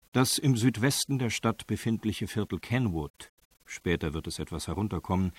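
A man reading scripted narration for a radio drama in a factual, measured way. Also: low-pitched, husky, dry recording.

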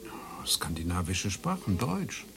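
An actor playing a hesitant, ruminative academic in German radio play dialogue. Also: male voice, sits low, very animated, a little slow, gravelly, crowd noise, heavily acted. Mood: musing. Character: musing, hesitant male academic who studies Strindberg.

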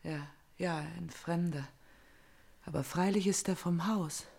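A woman's voice: fairly low pitch, unhurried, creaky, a little mellow. A woman playing a young, defiant and vengeful princess in classical German radio drama, with a taunting, cryptic manner that breaks into fierce cries.

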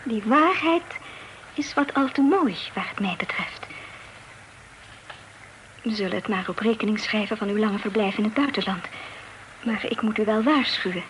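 An actress playing a well-bred young Dutch lady in a radio play, formal, coy and gently reproving. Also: mid-range voice, animated, moderate pace, pauses often, natural voice. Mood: prim, coy. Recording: noisy old radio play recording.

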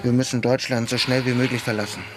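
A man's voice: medium pitch, little pitch movement, fast talker, over music, natural voice. Actor as a terse, suspicious male army officer. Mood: wary, tense. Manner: terse, demanding, skeptical. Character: suspicious, hard-questioning male American army lieutenant. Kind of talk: German radio drama acting.

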